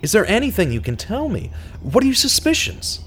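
A man playing an earnest radio host turned true-crime detective, narrating a scripted audio drama in a measured, dramatic way. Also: high voice, huge pitch swings, slight background noise. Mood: earnest, pressing.

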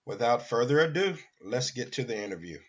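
Slightly muffled, lo-fi audio carries a male host's voice at an ordinary pitch. He talks to listeners as he introduces his radio show. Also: big pitch swings, fairly quick, breathy edge.

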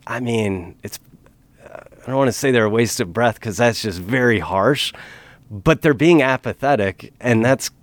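A man's voice: mid-range voice, unhurried, no echo. A middle-aged man in casual podcast talk, earnest and emphatic, pressing his points with conviction.

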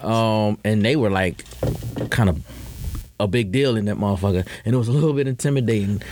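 A man's voice: lowish voice, brisk, a little mellow, slight background noise. A man from the United States, a podcast guest telling stories in slangy, rambling casual chat, full of fillers and restarts.

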